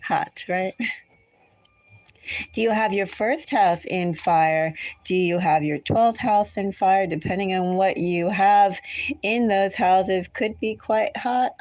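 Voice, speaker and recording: A woman's voice: mid-range voice, slow pace, a little mellow, no echo. A woman with an American accent, chatting casually and in a rambling way as she hosts a tarot reading livestream. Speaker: tarot reader hosting a livestream. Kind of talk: casual livestream chat with viewers.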